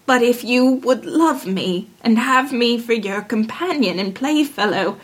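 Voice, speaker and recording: A woman voicing an insistent, plaintive male frog in a fairy tale, speaking slowly and drawing out the words. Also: fairly high pitch, expressive. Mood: slow, coaxing. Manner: slow, drawn-out, deliberate delivery. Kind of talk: fairy tale storybook character voice.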